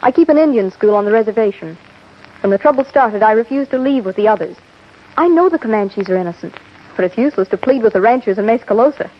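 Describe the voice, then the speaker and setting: A woman's voice: a little high, lively intonation, fast talker. A woman playing a determined young frontier schoolteacher in a radio western, speaking in a firm, direct, poised way. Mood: earnest, grave. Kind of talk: old-time radio western acting. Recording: muffled, hissy old radio broadcast.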